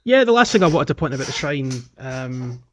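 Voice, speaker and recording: A man's voice: medium pitch, big pitch swings, fairly quick, background noise. A man co-hosting a podcast, discussing a game casually in a hesitant, explanatory way with meandering phrasing. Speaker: podcast co-host. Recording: dull, band-limited voice recording.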